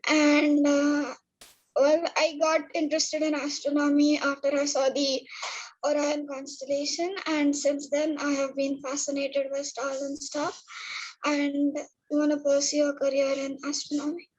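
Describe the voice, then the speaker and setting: High flat-toned young woman. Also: measured pace, no room sound, muffled recording. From India. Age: young adult. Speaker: student.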